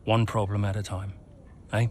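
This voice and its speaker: An actor playing a racing team boss who talks in a persuasive, reassuring way. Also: male voice, fairly low pitch, little pitch movement, moderate pace, slight background noise, close to an everyday voice.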